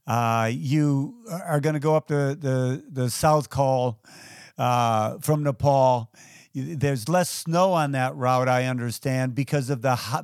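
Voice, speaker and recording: A male podcast host leads the conversation in a direct, chatty way. His pitch is middling, and he sets out the context before he asks. The recording is dry and close. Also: no echo. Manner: direct, explains before asking. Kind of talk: conversational interviewing.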